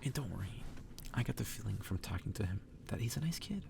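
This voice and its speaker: A man reading a story aloud in a hushed, breathy ASMR whisper. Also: very animated, fast, background noise. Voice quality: breathy whisper, gravelly low voice. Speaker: ASMR reader.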